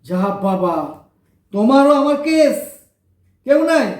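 An actor playing a brash but warm-hearted orphaned street lad in his twenties, with a Kolkata Bengali accent and slangy, breezy talk in an audio drama. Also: high-pitched, animated, slow, reverberant. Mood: cocky. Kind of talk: colloquial audio drama acting.

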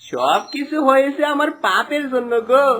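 A man's voice: extremely high pitch, animated, normal speed, pushed and bright, full character voice. A man playing a remorseful, greedy villager in a cartoon moral tale, pleading in a plaintive way. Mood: rueful.

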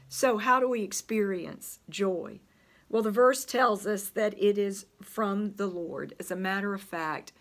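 An older woman with a Southern US accent leads a church Bible lesson at an unhurried, measured pace. Her voice is slightly worn as she recovers from the flu, and she leans on key phrases for emphasis. She is recorded by a desk camera in a church library. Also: mid-range voice. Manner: slow, deliberate teaching with emphasis on scripture quotes. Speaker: Bible study teacher. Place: church library with bookshelves, indoors.

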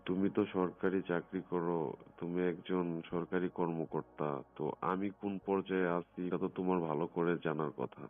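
Low-voiced coaxing man, muffled phone recording. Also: little pitch movement, a little fast. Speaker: phone caller in a recorded conversation. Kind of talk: private phone conversation.

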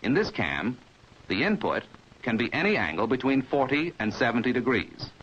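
A man's voice: mid-range voice, sing-song, fairly bright tone, slightly rough. Dull vintage recording of American male narrator. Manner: measured, precise, didactic. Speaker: training film narrator. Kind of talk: 1950s instructional film narration, scripted. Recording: dull 1950s film soundtrack.

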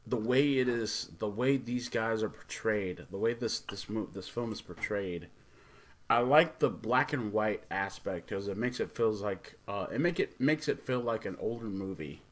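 Halting low-voiced man reviewing casually. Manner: halting, stumbles over words. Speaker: host of a movie review show. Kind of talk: casual off-the-cuff review commentary.

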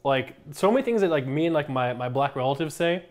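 Higher-voiced American man chatting emphatically. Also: animated, fast. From United States. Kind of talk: casual chat. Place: home kitchen, indoors.

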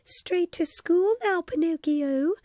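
Woman doing a soft gentle fairy. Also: high voice, expressive, takes it slowly, no room sound, studio quality, pitched above the performer's own voice.

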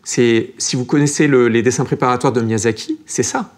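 A man's voice: medium pitch, steady tone, brisk. A man speaking as an art critic in a panel debate, circling back and repeating words as he builds his argument. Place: TV studio.

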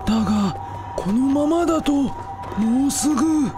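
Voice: high voice, expressive, measured pace, a crowd in the background. A performer voicing a worried, weary elderly village man with folksy old-man speech in an amateur radio drama, sighing as they talk. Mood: resigned. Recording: music behind the voice.

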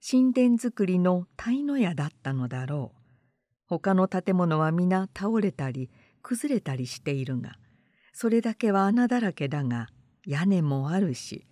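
A woman narrator reading literary fiction aloud in a measured, composed manner. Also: mid-range voice, warm tone, dry recording, studio quality.

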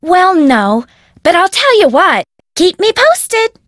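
An actress playing a gossipy, excitable, girlish young woman in a video game, speaking dramatically. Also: extremely high pitch, slow, dry recording, studio quality, heavily acted. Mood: giddy, excited. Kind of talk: video game character acting.

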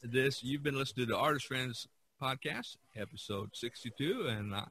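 A man's voice: medium pitch, normal speed, sharp tone, husky. A man hosting a podcast, chatting casually in a rambling, emphatic way. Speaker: podcast host.

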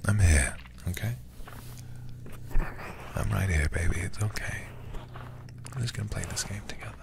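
A man streaming a game as ASMR, reading aloud and chatting softly in an intimate, soothing, breathy way, close to the microphone. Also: low-pitched, normal speed, noisy background. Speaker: livestreamer playing horror games.

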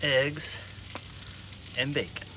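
A man's voice: mid-range voice, very slow. A young man at a campsite, joking around and teasing in a dry way. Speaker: vlogger's partner. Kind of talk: casual chat. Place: campsite outdoors. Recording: loud outdoor noise, cooking sizzle.

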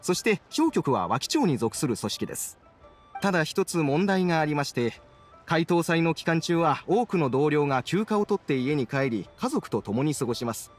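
ゲームで、男性声優が演じる礼儀正しく真面目な若い事務員。改まった事務的な口調で話す。. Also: male voice, on the high side, sing-song, normal speed, soft background music. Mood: courteous. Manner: courteous, formal, businesslike. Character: polite, earnest young male office clerk. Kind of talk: Japanese anime game voice acting.

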